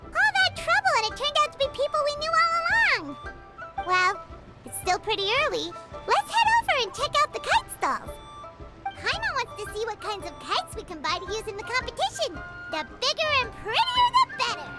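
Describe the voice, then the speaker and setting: A woman's voice: very high voice, wildly swooping pitch, normal speed, sharp tone, faint music behind, full character voice. A woman voicing a tiny, bubbly fairy companion girl who talks about herself in the third person. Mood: upbeat. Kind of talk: game voice acting.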